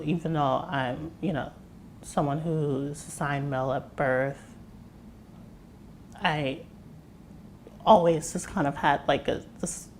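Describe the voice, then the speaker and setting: A guest assigned male at birth, with a high-pitched voice, answering an interview in a hesitant way full of fillers. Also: darkish tone, slight background noise. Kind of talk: candid conversational interview answer.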